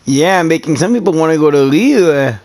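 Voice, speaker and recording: A woman's voice: low voice, expressive, moderate pace, darkish tone. A woman talking in a casual, slangy way as she reads and reacts during a video game.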